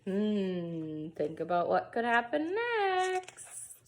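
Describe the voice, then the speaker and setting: A woman's voice: mid-range voice, wildly swooping pitch, extremely slow. A woman reading a picture book aloud to young children, expressively and with casual asides. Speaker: preschool storyteller.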